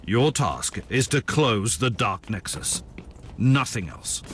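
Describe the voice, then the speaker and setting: An actor playing a grave, formal Inquisitor and war leader in a dramatic video game cutscene. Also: male voice, mid-range voice, expressive, takes it slowly, slight background noise. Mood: grave warning. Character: stern, commanding male Inquisitor, grim war leader.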